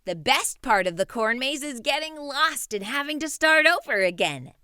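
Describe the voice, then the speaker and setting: A woman reading a children's picture book aloud, with a lively, expressive delivery. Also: high voice, moderate pace, clear, resonant tone, no room sound, studio quality. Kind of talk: read-aloud storytelling.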